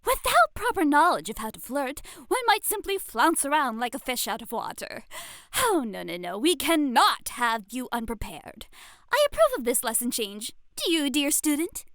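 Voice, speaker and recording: A woman's voice: sits high, big pitch swings, moderate pace, a bit bright, no echo, clean studio sound, exaggerated voice. A woman voicing an exuberant, refined etiquette teacher in an ASMR roleplay, with theatrical, gushing delivery and elegant, formal diction. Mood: delighted.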